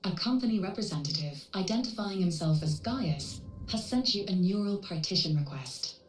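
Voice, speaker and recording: A woman playing a composed, coolly corporate AI handler in a video game, speaking politely in measured, clinical, formal phrases. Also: fairly low pitch, animated, natural voice. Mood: calm, advisory. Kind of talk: scripted video game cutscene dialogue. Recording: roomy processed echo, distant, music underneath.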